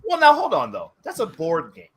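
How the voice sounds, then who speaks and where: Lively man with a bright, punchy voice. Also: high voice, normal speed, breathy edge. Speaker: panelist on a movie draft show. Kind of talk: casual, joking group chat.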